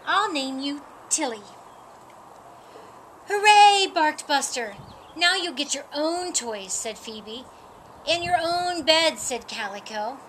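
Slow, lively woman reading aloud. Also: high voice, slight background noise, low-quality recording. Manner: slow, lively, lifts her voice for animal lines. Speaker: storyteller. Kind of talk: reading a picture book aloud. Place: outdoors in a sunny yard. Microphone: camera a few feet away.

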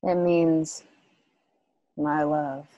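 A woman playing a guarded, grieving widow in a western radio play, speaking in halting, understated phrases. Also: sits low, little pitch movement, mellow, no echo, full character voice. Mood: wistful. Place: home, on a group video call.

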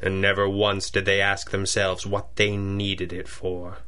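An actor playing a brooding, wealthy masked crime-fighter in a 1930s-style radio adventure, deliberate and articulate. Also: male voice, sits low, monotone, pushed and bright, smooth. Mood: brooding, confessional.

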